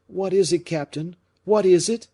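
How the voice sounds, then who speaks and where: A man's voice: high-pitched, moderate pace, warm tone, voice pushed up. A man voicing an anxious, desperate nobleman in an audiobook, with urgent, exclamatory speech. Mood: alarmed, anxious. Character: anxious, desperate nobleman, a royal finance minister in danger. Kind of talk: audiobook character acting.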